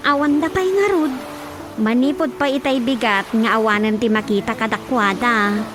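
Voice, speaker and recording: An actress playing a dutiful grown daughter in an Ilocano radio drama, deferential and matter-of-fact. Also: female voice, on the high side, moderate pace, natural voice. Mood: weary, resigned. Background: prominent background music.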